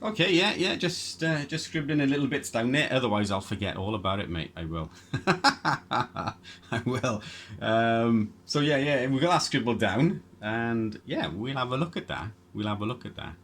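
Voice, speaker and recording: A man's voice: mid-range voice, very animated, normal speed, a bit bright, slight background noise. A man chatting casually as an amateur radio operator, hesitant and rambling as he goes. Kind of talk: casual two-way radio chat.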